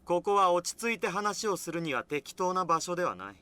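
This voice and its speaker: A man's voice: big pitch swings, racing, sharp tone, smooth, no echo, heavily acted. A man playing a mocking, menacing villain in a Japanese visual novel, with a derisive edge to his voice. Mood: smug, derisive. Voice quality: high-pitched with derisive edge. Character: mocking, menacing high-voiced male villain, smug and cruel.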